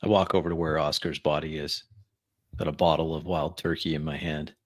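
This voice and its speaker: A man playing a tabletop roleplaying game, narrating his actions and speaking in character in an unhurried, deliberate way. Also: very deep voice, little pitch movement. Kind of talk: improvised in-character roleplay and first-person narration.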